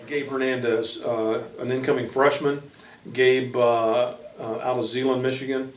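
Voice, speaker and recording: Echoey, even-toned male basketball coach. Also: medium pitch, a little hiss or hum. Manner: hesitant, halting delivery with frequent pauses. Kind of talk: informal spoken player introductions. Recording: echoey room, distant microphone.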